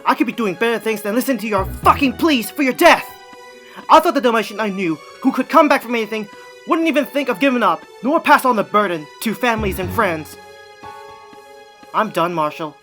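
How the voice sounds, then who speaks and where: A woman's voice: on the high side, lively intonation, a bit bright, faint music behind. A woman voicing an earnest, hot-tempered male German shepherd pup in an emotional cartoon fan drama. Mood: angry, scornful. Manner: intense, halting, emotional.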